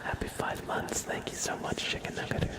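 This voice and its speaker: A man hosting an ASMR livestream, speaking softly and soothingly in a breathy whisper to his viewers. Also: fairly quick. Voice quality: breathy whisper, often unvoiced.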